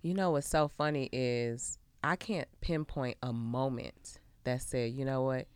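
Female podcast guest, low voice with a slow drawl, crisp and bright, laughs easily. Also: no room sound. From Chicago. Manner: laughs easily, slangy, drawn-out answers. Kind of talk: casual podcast chat.

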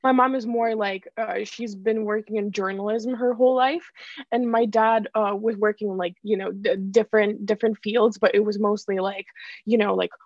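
A woman's voice: mid-range voice, fairly even intonation, normal speed, a little mellow, dry recording. A young woman from Ukraine, a songwriter, chatting casually in an interview with lots of fillers and restarts. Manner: chatty, many likes and restarts, laughs often. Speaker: songwriter guest. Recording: dull dry lo-fi sound.

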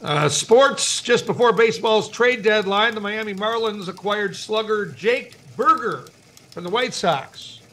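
A man's voice: high voice, measured pace, pushed and bright. A man hosting a podcast, presenting humorous news bits and interviews. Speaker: podcast host.

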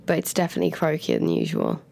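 Croaky woman with a cold. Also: sits low, huge pitch swings, quick pace, warm tone. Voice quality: naturally croaky. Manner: chatty, laughs often, trails off mid-sentence. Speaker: podcast co-host. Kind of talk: casual chat.

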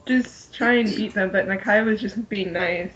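A woman's voice: medium pitch, normal speed, a bit bright, slightly rough. Woman chatting on slightly muffled podcast audio. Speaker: podcast panelist. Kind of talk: casual fan chat.

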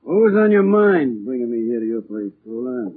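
Blunt, callous crook, husky voice. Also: male voice, mid-range voice, big pitch swings, normal speed. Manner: blunt, commanding. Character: callous, bossy male crook, matter-of-fact about killing. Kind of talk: 1940s radio acting. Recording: muffled, narrow-band 1940s radio recording.

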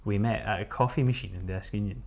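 A man's voice: on the low side, fairly even intonation, fast, a little mellow. A young man telling how he started his own business, rambling and hesitant with plenty of fillers, laughing at his own mistakes. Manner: rambling, hesitant, self-deprecating. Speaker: business co-founder guest. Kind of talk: casual interview chat.

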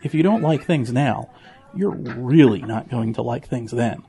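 A man's voice: mid-range voice, lively intonation, measured pace, warm tone, husky. A man playing an earnest, preachy pig with an admonishing manner, in a children's audio drama. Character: earnest, preachy male pig with a fussy nervous streak.

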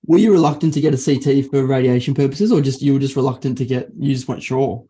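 An interviewer with a dark, mellow tone. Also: mid-range voice, steady tone, fast. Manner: brief prompting questions.

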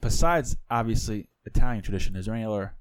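A man's voice: lowish voice, animated, fast, smooth, no echo. A man co-hosting a podcast in casual chat, joking and blunt, swearing casually. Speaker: podcast co-host. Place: podcast studio. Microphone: studio microphone.

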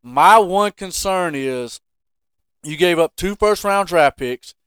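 An American man with a high, smooth voice hosts a casual sports podcast. He argues emphatically and leans on rhetorical questions to press his case. The sound is dry and close. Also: animated, takes it slowly, fairly bright tone, dry recording. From Carolina, United States.

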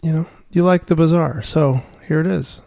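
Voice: medium pitch, normal speed, creaky, dark tone. Giggly, rambling podcast host. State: mildly high. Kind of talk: casual chat.

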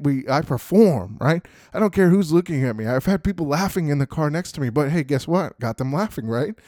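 A young adult man with a deep voice hosting his own podcast, giving upbeat motivational tips and often tagging lines with "right?" and "you know". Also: darkish tone, dry recording, clean studio sound. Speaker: podcast host. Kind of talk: motivational talk.

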